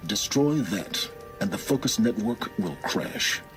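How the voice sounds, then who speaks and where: An actor playing a secretive, self-serving middle-aged man who guides with measured, cryptic authority in a video game. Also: on the low side, animated. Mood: measured, scheming. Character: secretive, commanding male informant serving his own interests. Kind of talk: dramatic video game acting. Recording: music behind the voice.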